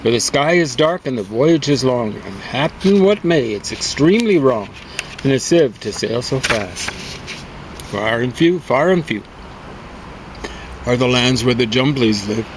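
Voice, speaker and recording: A man's voice: mid-range voice, animated, a little slow. A man speaking in a casual, conversational way as he chats and reads poetry aloud. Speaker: poem reader and reviewer. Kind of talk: casual chat and poem reading. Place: outdoors on a deck. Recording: outdoor recording with clear vehicle noise.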